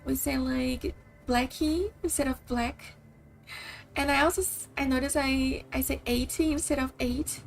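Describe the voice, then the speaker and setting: Woman, Brazilian accent, native Portuguese speaker, rambling stream chat, high voice, vocal fry. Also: steady tone, measured pace. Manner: chatty, rambling, many likes and kinda. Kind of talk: casual live-stream chat. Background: faint game music.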